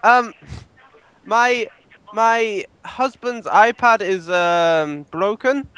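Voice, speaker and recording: A man doing a halting, confused old husband. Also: sits high, a bit bright, clean tone, highs cut off, exaggerated voice. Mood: hesitant. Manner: hesitant, halting. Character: confused elderly husband Alfred.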